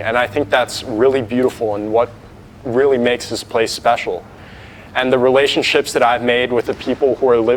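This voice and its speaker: An earnest teenage boy talking casually and reflectively, often stopping to rephrase with "like" and "kind of". His voice sits at an ordinary middle pitch for a young man. A faint hiss of background noise runs under him. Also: low-quality recording. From United States. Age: teens. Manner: earnest, reflective, with many fillers and restarts. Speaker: novice monk sharing his experience. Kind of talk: casual talk to camera.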